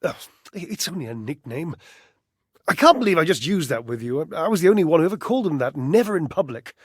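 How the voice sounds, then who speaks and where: An actor playing a flamboyant, lovelorn household-object character in a video game, theatrical, flighty and verbose, with dramatic pauses. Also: male voice, a little high, sing-song, slightly rough, dry recording, clean studio sound. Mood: fond, confiding.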